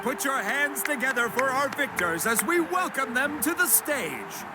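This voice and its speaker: A man's voice: very high-pitched, sing-song, a little slow, full character voice. A man playing a showy tournament announcer in a video game, hailing champions theatrically over music. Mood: proud, rousing. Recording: loud music behind the voice.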